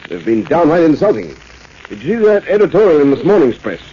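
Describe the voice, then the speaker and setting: An actor playing an emphatic, self-assured police commissioner in a 1940s radio drama. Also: male voice, a little high, sing-song, fairly quick, warm tone. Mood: testy. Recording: muffled vintage radio with faint noise.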